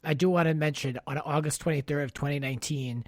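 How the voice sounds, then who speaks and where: A man's voice: a little high, fairly even intonation, quick pace, no echo, clean studio sound. A man hosting a podcast, chatting casually about pop culture in an opinionated, talkative way. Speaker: podcast host.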